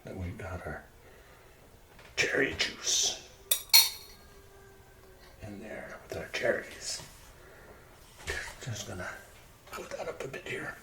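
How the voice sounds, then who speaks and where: A man's voice: low voice, very animated, slow, pauses often, bright, forceful tone, slight background noise. A man giving an ASMR cooking demonstration as a home cook, murmuring in short, sparse phrases in a kitchen. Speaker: home cook presenting a recipe. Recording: roomy kitchen echo, clattering dishes and clicks.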